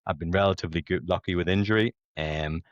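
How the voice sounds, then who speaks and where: A Northern Irish man in his late thirties talks in a low voice, chatty and self-deprecating. He rambles easily like a podcast guest. The sound is dry and a bit dull, lo-fi. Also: quick pace, no room sound. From Belfast, Northern Ireland. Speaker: podcast guest, amateur competitive runner. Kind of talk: casual conversational storytelling.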